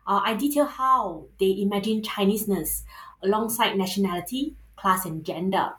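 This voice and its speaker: A woman with a Singaporean accent, a sociologist and author answering interview questions thoughtfully, pausing often with ums and uhs. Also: medium pitch, reverberant, rough recording.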